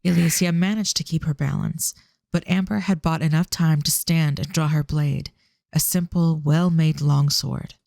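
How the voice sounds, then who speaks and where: A scripted fantasy audio-drama narrator with measured, descriptive delivery. The voice has noticeable vocal fry and is recorded close and very dry. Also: medium pitch, steady tone, a little mellow, dry recording.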